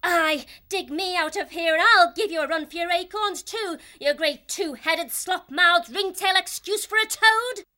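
A woman's voice: very high voice, measured pace, few pauses, pushed and bright, full character voice. A woman voicing a feisty, cheeky young mousemaid who slings defiant insults in an audiobook drama.

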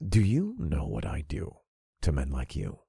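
A man voicing a cruel, gloating dark lord in an audiobook, commanding, taunting and full of contempt. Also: very deep voice, wildly swooping pitch, unhurried, vocal fry, breathy edge, dry recording, clean studio sound, voice pushed down, full character voice. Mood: quiet menace.

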